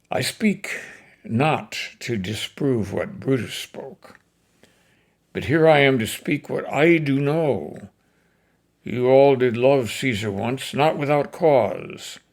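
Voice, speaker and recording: A grieving, persuasive Roman orator, recited by a man in a slow, deliberate delivery. The voice is gravelly and swings widely in pitch, rising and falling in loudness as he declaims. It sounds like a dramatic stage recitation. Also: full character voice. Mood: mournful. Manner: declamatory, with sudden swells and drops in loudness. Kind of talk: dramatic recitation of a classic play speech.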